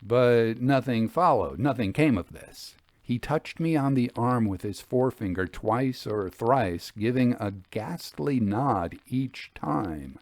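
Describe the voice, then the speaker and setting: Male storyteller for classic ghost fiction: dramatic, measured phrasing, animated intonation, close dry recording. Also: medium pitch, no room sound. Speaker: narrator.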